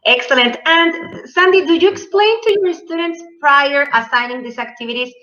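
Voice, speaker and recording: A woman's voice: sits high, lively intonation, normal speed, bright, forceful tone, lo-fi sound. A woman moderating an online conference Q&A, asking questions and reading out audience comments, heard over a video call. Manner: reads audience comments, brief affirmations.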